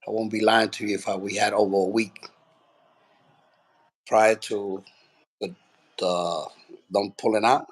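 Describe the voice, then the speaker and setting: A man from Puerto Rico, a boxing trainer, telling his story in a relaxed, rambling way with lots of fillers. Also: lowish voice, measured pace, pauses often, breathy edge, poor audio. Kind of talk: casual interview.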